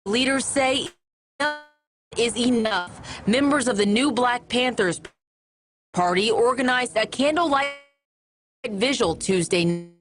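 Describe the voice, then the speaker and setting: A woman's voice: fairly high pitch, measured pace, long pauses. An American woman delivering a scripted television news report as a field reporter.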